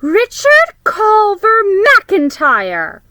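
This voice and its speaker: Lady doing a bossy teen big sister in a storybook, high shrill yelling, ordering everyone around. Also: wildly swooping pitch, extremely slow, no echo, heavily acted. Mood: bossy outrage. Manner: shrill, imperious, shouted orders. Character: bossy fourteen-year-old big sister.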